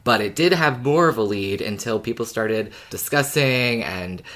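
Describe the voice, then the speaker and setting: A man co-hosting a podcast, explaining his views casually in a measured way. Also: fairly low pitch, lively intonation, a bit bright. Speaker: podcast co-host.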